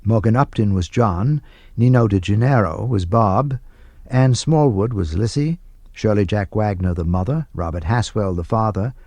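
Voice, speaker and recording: Male radio announcer, dark warm timbre, dry studio sound, scripted, formal, measured. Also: on the low side, dry recording. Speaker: radio announcer and translation reader.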